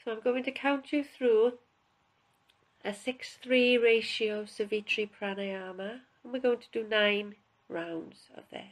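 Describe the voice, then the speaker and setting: Woman guiding a deep relaxation. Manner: calm, unhurried, instructional, with pauses. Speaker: yoga relaxation instructor. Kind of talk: guided relaxation, spoken instruction.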